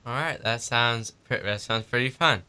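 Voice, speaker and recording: A man's voice: medium pitch, normal speed, sharp tone, clear, resonant tone. A man hosting a radio interview, asking short questions and giving brief prompts.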